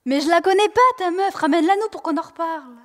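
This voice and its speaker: Young woman as rapid, brash merchant. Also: very high voice, in a large hall. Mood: aggressive, mocking. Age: teenager. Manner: slangy, confrontational. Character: brash, mocking street-talking merchant. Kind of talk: amateur student audio drama.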